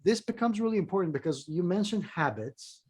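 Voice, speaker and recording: A man's voice: high-pitched, lively intonation, brisk, breathy edge. A man hosting an interview, enthusiastic and affirming toward his guest. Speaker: interview host. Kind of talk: casual interview talk.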